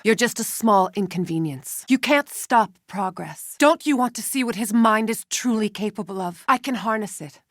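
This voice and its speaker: A woman's voice: fairly high pitch, a bit bright, no room sound. A ruthless female scientist villain from a video game. She speaks with clipped, precise authority and cold calculation. The recording is close and dry, with no room sound. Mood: urgent, commanding. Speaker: game voice actress. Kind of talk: dramatic video game voice acting.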